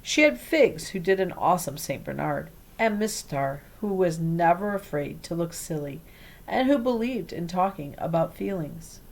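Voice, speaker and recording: A woman reading a children's storybook aloud in an expressive way. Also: fairly low pitch, moderate pace, vocal fry, poor audio. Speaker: read-aloud reader.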